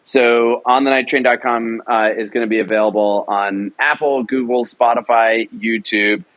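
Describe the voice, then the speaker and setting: A man's voice: medium pitch, steady tone, normal speed, dull audio. A young man, a writer and director, talking about his audio drama production in an enthusiastic, rambling way with many fillers. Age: young adult. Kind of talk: casual interview talk.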